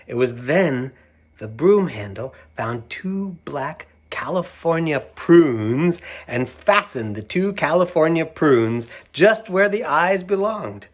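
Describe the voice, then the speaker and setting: A middle-aged man reading a picture book aloud to children, gentle and unhurried, stopping to show the pictures. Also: on the high side, lively intonation. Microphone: camera mic, moving toward it to show pages.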